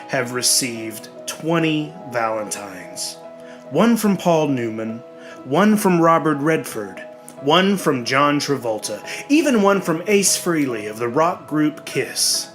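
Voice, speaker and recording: A man delivers scripted horror narration at a slow pace, letting pauses hang for suspense. His reading is dramatic and deliberate, in a medium-pitched voice. Music plays clearly behind him. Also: fairly bright tone. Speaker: narrator of a horror audio story. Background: clearly audible music.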